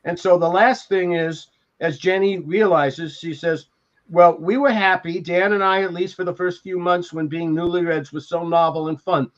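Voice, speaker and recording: An older American man on a podcast, telling anecdotes and reading from a memoir in a halting, reflective way. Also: sits high, fairly even intonation. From Beverly Hills, California. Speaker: podcast co-host. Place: indoors at home, on a video call. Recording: dull lo-fi video call audio.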